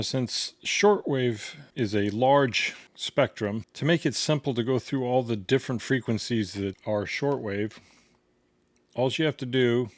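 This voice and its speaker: A man's voice: mid-range voice, no echo. A man giving a casual hands-on product tutorial in a plain, step-by-step instructional way. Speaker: product reviewer demonstrating a gadget.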